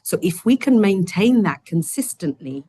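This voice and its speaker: A woman with a deep, low voice hosts an online study session from home over a video call, gentle and chatty with plenty of fillers. Her voice is a little croaky, and the sound is dry and close. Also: expressive, moderate pace, dry recording. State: slightly croaky voice. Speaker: host.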